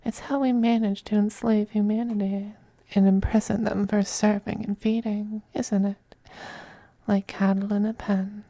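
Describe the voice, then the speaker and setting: A performer voicing a possessive, androgynous male vampire master in an ASMR roleplay, soft, breathy and often whispering, coaxing and condescending. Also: medium pitch, level tone, dry recording, close to an everyday voice. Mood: cold, haughty. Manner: slow, purring, coaxing, condescending. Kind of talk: ASMR roleplay, intimate scripted monologue.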